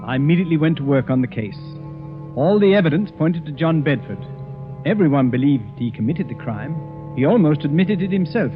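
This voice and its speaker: An actor playing a proud, egotistic detective who speaks in a boastful, commanding way, in 1940s radio acting style. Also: male voice, fairly high pitch, expressive, brisk, lightly acted. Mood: interrogating. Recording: tinny phone-like old radio recording with music.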